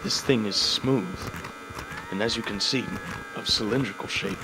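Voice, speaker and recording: A man playing a matter-of-fact scientist in a radio play, explaining in a measured, detached manner. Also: fairly low pitch, close to an everyday voice. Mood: detached curiosity. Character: matter-of-fact male scientist professor examining a strange object. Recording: humming, noisy recording with mains buzz.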